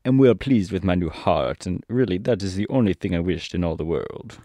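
Man as a low, tender-hearted tin woodman. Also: expressive, normal speed, dark tone, no room sound. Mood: content.

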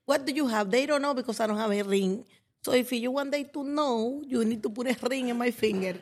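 A woman's voice: on the high side, brisk, a little hiss or hum. A woman from the Dominican Republic with a strong Dominican Spanish accent, chatting bluntly and playfully as a podcast guest. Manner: blunt, playful, jokes about her limited English. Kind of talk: casual podcast chat.

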